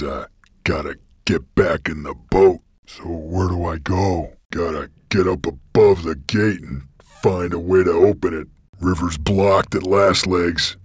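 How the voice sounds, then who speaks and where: An actor playing a gruff, laconic creature bounty hunter in a video game, with a gravelly voice and terse, folksy cowboy drawl. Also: male voice, a bass voice, no room sound, clean studio sound, heavily acted. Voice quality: gravelly, husky. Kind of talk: video game character voice lines.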